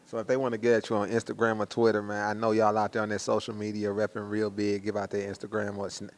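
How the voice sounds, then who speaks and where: A man's voice: lowish voice, completely flat delivery, fast talker. An American man hosting promotional interviews in a slangy hype-man style, leaning on catchphrases. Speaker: interviewer and host.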